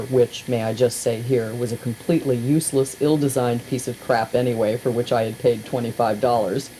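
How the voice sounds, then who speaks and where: A woman narrates a humorous memoir in a very low, mellow voice. Her storytelling is expressive, wry and deliberate. It sounds like an amateur cassette recording with faint noise. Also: rough recording. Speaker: radio personality reading aloud.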